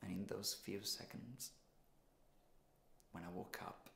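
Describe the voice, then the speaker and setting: An actor playing a despairing, insecure man in his thirties in a confessional, halting dramatic monologue. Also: low voice, gravelly, fairly bright tone, slight background noise, heavily acted. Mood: wistful, hushed. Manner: confessional, halting, deliberate pauses. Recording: roomy, echoing sound.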